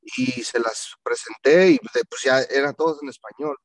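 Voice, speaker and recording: A man from Mexico, a band vocalist telling stories in a relaxed, slangy way on a video call, slipping into English now and then. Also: medium pitch, moderate pace. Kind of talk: casual storytelling in an interview.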